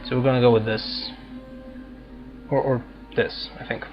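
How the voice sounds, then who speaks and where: A man doing casual game commentary, thinking aloud in halting fragments as he plays. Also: medium pitch, flat delivery, muffled recording, music in the background. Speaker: gaming video host playing and commenting.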